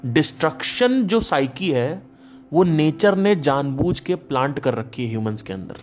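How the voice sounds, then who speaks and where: A man's voice: a little high, a little slow, soft background music. Emphatic man talking spirituality. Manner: emphatic, animated storyteller. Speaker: podcast host. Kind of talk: casual spiritual talk.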